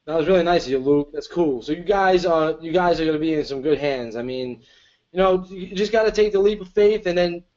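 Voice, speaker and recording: A man with a slightly high voice makes a pushy, slangy sales pitch. His audio comes through a muffled, lo-fi video call. He keeps calling the audience "guys" and "bro.". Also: steady tone, fairly quick, a little mellow. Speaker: co-presenter and marketer.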